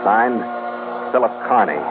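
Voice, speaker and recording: An actor playing a stern ship's captain reading a log in clipped phrases on an old radio adventure. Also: male voice, fairly low pitch, expressive, measured pace. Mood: stern, measured. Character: stern, steady male ship's captain logging entries. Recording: muffled old radio, loud music.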